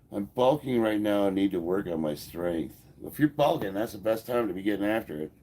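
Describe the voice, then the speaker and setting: A deep-voiced American man in his fifties talks casually to his livestream viewers. He sounds stuffed up from a head cold, and he answers comments bluntly, with swearing and easy laughter. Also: sing-song, normal speed, darkish tone. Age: 50s. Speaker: livestream host and powerlifter.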